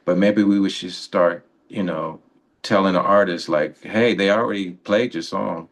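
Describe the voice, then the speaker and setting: A man's voice: sits low, steady tone, unhurried. A man co-hosting a radio show, explaining things in a casual, conversational way.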